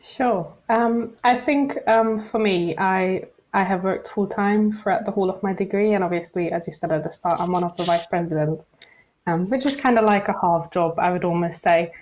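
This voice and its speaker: A woman's voice: mid-range voice, normal speed, darkish tone, poor audio. A woman student on a panel, talking through her time management in a thoughtful, practical way with frequent 'kinda'. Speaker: student panelist and student association vice president. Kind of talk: conversational panel talk.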